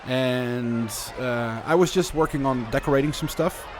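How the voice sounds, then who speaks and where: A man's voice: mid-range voice, unhurried, background noise. A Dutch man with a Dutch accent, chatting casually through live gameplay commentary and answering viewer questions as he builds. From Netherlands. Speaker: streamer.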